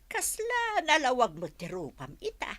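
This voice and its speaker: A woman's voice: sits high, huge pitch swings, unhurried, a bit bright, heavily acted. A woman playing a friendly neighbor who stops by on her way. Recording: music playing behind.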